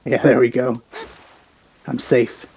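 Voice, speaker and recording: A man's voice: medium pitch, little pitch movement, slow, vocal fry, a little mellow, husky. A man giving casual commentary on a game he is playing, musing and thinking aloud. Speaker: let's play gamer. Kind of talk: casual blind playthrough commentary.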